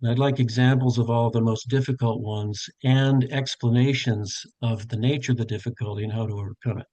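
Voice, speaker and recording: An older man runs a live online workshop for tutors, narrating each step as he goes. His voice sits in an ordinary middle pitch, and he talks it through with plenty of fillers and self-corrections. The sound is dry and close, like a video call from a home office. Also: fairly even intonation, fairly quick, mellow, no room sound. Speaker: tutor trainer. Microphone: webcam on a video call.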